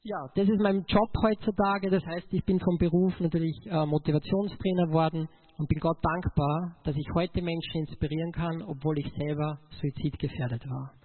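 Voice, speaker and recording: High-pitched Austrian man preaching, phone-like sound. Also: steady tone, normal speed, hardly any pauses. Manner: emotional, emphatic, addresses listeners directly. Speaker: motivational speaker giving a testimony.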